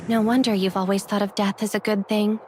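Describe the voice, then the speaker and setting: A woman's voice: medium pitch, little pitch movement, fairly quick, darkish tone, a little hiss or hum, lightly acted. A woman voicing a skeptical young protagonist in an anime game, guarded and questioning in manner.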